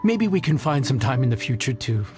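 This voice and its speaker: A man's voice: medium pitch, sing-song, moderate pace, music in the background, lightly acted. A man voicing a genial, gracious inn cook in a video game, with a hearty, folksy manner. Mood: friendly, impressed. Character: genial, gracious male inn cook praising his rival.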